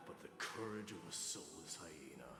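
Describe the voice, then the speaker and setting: A man's voice: a bass voice, lively intonation, moderate pace, vocal fry, full character voice. A man playing a stern, proud warrior lord in a video game, speaking in a curt, scornful, commanding way. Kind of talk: video game character acting. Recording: reverberant hall sound, game music behind.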